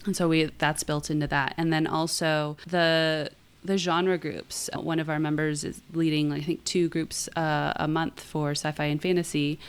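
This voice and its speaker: A woman's voice: sits low, little pitch movement, normal speed, clear, resonant tone. A woman co-hosting a writing podcast in a casual, conversational style. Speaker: podcast co-host, writing teacher.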